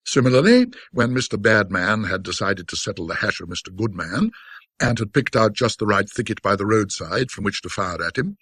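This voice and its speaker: A man narrating classic ghost stories in a theatrical, old-fashioned literary style, with a wry, scholarly, self-deprecating manner and dramatic pauses. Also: lowish voice, animated, fairly bright tone, breathy edge, dry recording.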